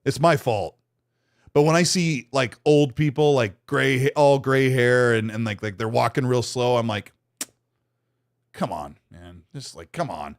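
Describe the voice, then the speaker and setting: A man in his late forties co-hosting a podcast, self-mocking and quick to laugh in casual banter. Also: mid-range voice. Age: 40s. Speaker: podcast co-host.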